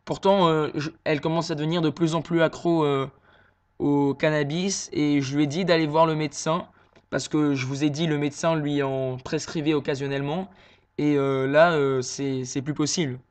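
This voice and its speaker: A man making a prank phone call, posing as a worried son and talking hesitantly with many pauses and fillers. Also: on the high side, steady tone, a little mellow, clean tone.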